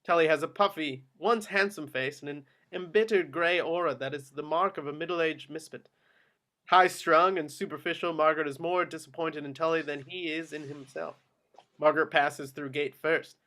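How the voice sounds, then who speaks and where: A man's voice: fairly high pitch, fairly even intonation, normal speed, sharp tone. A man reading screenplay action lines as the narrator of a table read. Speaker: stage directions narrator.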